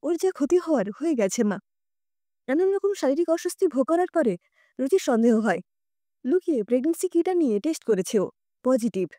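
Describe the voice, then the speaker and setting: Casting: female reader as worried young granddaughter in an audio drama, earnest, high, very expressive pitch. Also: brisk, no room sound, clean studio sound. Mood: distressed. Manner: pleading, earnest. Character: anxious, soft-hearted young granddaughter confiding in elders.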